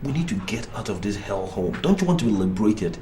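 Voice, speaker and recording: An actor playing a frustrated family man in his thirties, forceful and pleading by turns. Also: on the low side, sing-song, fast talker, slight background noise. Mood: tense. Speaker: husband and son. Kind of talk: film drama acting.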